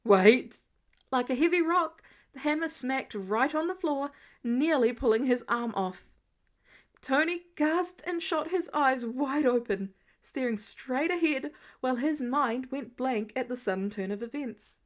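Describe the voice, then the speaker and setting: High-pitched woman reading a story. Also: animated, a little slow. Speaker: podfic reader. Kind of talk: expressive scripted story narration.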